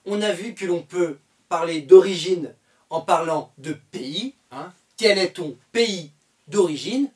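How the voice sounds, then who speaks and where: A man from France teaches French with a slow, deliberate pace and a voice that rises and falls a lot. He keeps repeating key phrases patiently, dotted with "hein" and "euh", pitched a little high for a man. The voice sits somewhat away from the microphone. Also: recorded from a distance. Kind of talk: slow explanatory language lesson.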